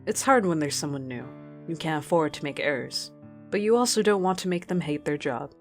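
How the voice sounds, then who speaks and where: Actress as female space captain, low voice, earnest, stubborn, protective, radio drama. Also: lively intonation, a little fast, faint music behind. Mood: reflective.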